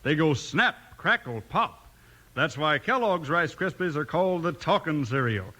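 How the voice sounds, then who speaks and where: Bright, forceful folksy radio pitchman. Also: expressive, unhurried. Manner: folksy, explanatory, dropped syllables. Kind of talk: 1950s children's radio sponsor spot.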